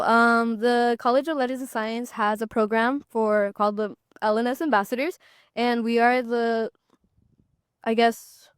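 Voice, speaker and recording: Young woman, college podcast co-host, smooth clear voice, easygoing, close dry sound. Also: fairly high pitch, dry recording. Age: young adult. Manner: friendly, easygoing, light laughs. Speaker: podcast co-host, student ambassador. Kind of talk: casual chat.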